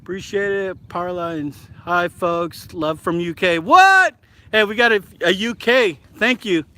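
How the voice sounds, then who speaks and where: A middle-aged man from Alaska hosting a casual live-stream vlog, excitable and chatty with lots of fillers, filmed outdoors on a handheld camera. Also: high voice, unhurried. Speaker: vlog host.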